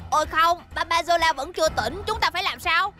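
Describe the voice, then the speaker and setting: A woman voicing a spirited, argumentative cartoon child, cheeky and quick to get indignant. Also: very high voice, pushed and bright, faint music behind. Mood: bold. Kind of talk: animated cartoon dub.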